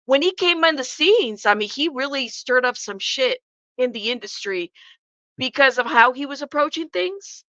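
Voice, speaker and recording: A woman's voice: sits high, expressive, moderate pace, pushed and bright, no echo, low-quality recording. A congested woman hosting a livestream, chatting casually and bluntly with her viewers and swearing freely. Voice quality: congested, stuffed-up nose. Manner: informal, blunt, sweary, addresses chat directly. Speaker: livestream host. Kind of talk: casual live commentary to viewers.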